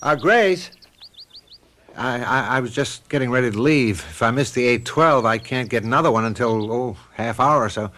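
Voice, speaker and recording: An American actor playing a wry, impatient suburban husband in a radio drama, talking conversationally with exasperation. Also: male voice, medium pitch, normal speed, a bit bright, lightly acted. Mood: wary, impatient.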